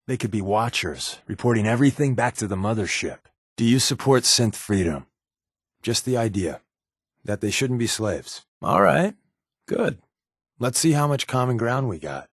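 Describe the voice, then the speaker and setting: A man's voice: medium pitch, lively intonation, moderate pace, husky, dry recording, clean studio sound. A man playing a wry, sardonic secret agent companion in a video game, talking casually and confidingly with a wisecracking edge. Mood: earnest, wry. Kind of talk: conversational video game voice acting.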